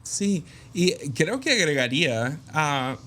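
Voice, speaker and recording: A man's voice: on the high side, big pitch swings, slow, a bit bright. A man speaking as a guest in an interview, reflective and candid, with many hesitant fillers. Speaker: guest, pastor's son and preacher. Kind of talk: conversational interview.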